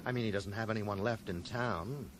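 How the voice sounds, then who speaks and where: A man's voice: fairly low pitch, normal speed, background noise, lightly acted. An actor playing a determined, sardonic man in a radio drama, with a dry, questioning manner. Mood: probing, gentle. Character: determined, sardonic American man probing a friend's deception.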